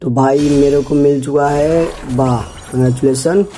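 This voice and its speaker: Indian man with a very dark, mellow voice. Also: a little high, steady tone, unhurried, slight background noise. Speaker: gaming video host. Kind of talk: casual gameplay commentary. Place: voice-over on a screen recording.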